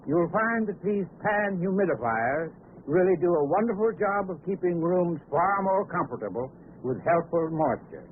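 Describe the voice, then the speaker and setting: Higher-voiced 1940s radio pitchman. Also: lively intonation, normal speed. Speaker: heating expert giving sponsor message. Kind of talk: scripted 1940s radio advertising talk. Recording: crackly, narrow-band 1940s radio recording.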